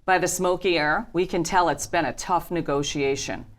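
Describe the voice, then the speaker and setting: A woman's voice: on the low side, normal speed. A woman reading out scene headings and stage directions as the narrator of a script table reading.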